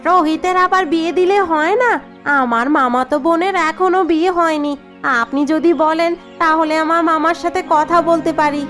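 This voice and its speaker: A woman's voice: very high-pitched, steady tone, moderate pace, fairly bright tone, soft background music. A woman voicing a sly, scheming daughter-in-law in a cartoon drama, coaxing and suggestive. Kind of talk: dubbed cartoon dialogue.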